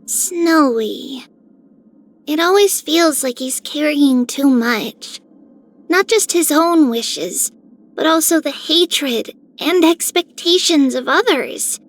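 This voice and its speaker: Sweet earnest little game girl, really high voice, takes her time. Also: animated, slow pace. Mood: gentle worry. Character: small earnest girl, caring and gentle. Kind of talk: scripted game character voicelines.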